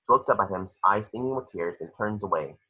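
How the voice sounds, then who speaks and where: A man narrates stage directions from a script. His voice is low and a bit raspy, with a hard, very bright edge. The recording is a little dull. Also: fast.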